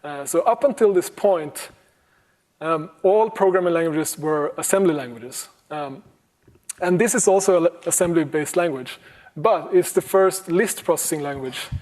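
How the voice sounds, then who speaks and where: A man with a fairly high voice gives a conference talk on computing history. He speaks casually and haltingly, with plenty of ums, restarts and an occasional chuckle. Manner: relaxed, many ums and restarts, chuckles now and then.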